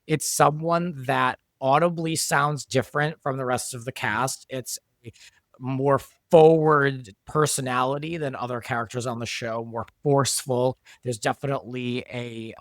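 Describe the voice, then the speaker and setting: A man's voice: mid-range voice, no echo. A man talking as a podcast guest and show creator, explaining things conversationally and thinking aloud as he goes. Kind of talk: conversational behind-the-scenes discussion.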